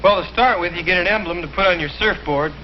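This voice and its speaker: A man's voice: high-pitched, quick pace, natural voice. A young man playing a cocky, slangy surf club member in a 1960s TV comedy sketch. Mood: matter-of-fact. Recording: muffled noisy 1960s TV recording, studio audience. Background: studio audience.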